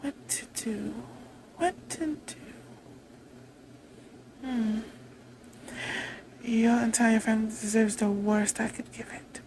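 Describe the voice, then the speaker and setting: A woman playing a haughty, vengeful vampire queen in a roleplay monologue, imperious, taunting and deliberate in delivery. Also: a little high, steady tone, a little mellow, slight background noise, poor audio. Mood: brooding.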